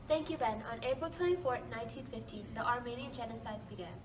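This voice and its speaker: High-voiced young woman reporting over noise. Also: fast. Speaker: student correspondent. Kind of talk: scripted news report. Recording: distant mic, clear ambient noise.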